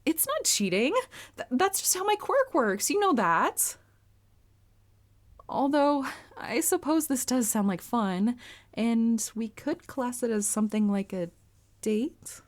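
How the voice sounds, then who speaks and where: A woman playing a polite, earnest, bashful young anime heroine with a crush, speaking formally and gently gushing in a roleplay audio. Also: on the high side, expressive, slow pace. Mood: gently teasing, shy. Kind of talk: anime character roleplay audio, addressed to listener.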